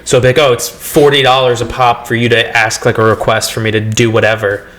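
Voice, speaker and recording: A man's voice: mid-range voice, little pitch movement, brisk, fairly bright tone. Rambling young man podcast co-host. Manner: rambling, joking asides, many "like" fillers. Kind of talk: casual comedy podcast chat.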